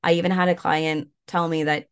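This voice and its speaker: A woman guest, a yoga and mindfulness coach, talking in a relaxed way with lots of fillers while stuffed up and a bit croaky. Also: on the low side, brisk. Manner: relaxed, many fillers like "like" and "you know". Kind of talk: casual interview talk, guiding an exercise.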